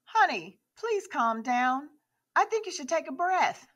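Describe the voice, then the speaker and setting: A woman's voice: high voice, very animated, a little slow, fairly bright tone, muffled recording, heavily acted. A woman playing a placating middle-aged girlfriend in an audio drama. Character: soothing middle-aged girlfriend of a jailed man.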